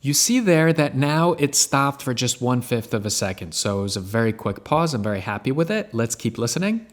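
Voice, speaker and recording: Man walking through a software tutorial. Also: medium pitch, sing-song, a little fast. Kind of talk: casual screen-share tutorial narration.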